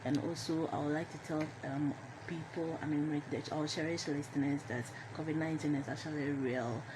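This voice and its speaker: A woman's voice: deep voice, fairly even intonation, fairly quick. Young female panelist, Ghanaian accent, earnest but hesitant, unscripted, audible background noise. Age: young adult. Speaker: radio panelist. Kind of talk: unscripted public-health appeal in panel talk. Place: radio studio.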